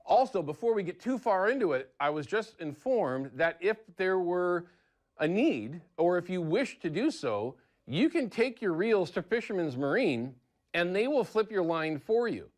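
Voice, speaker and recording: Folksy American man, slightly high-pitched voice. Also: very animated, normal speed. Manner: folksy, chatty, conversational. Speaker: TV show host. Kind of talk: casual how-to fishing segment.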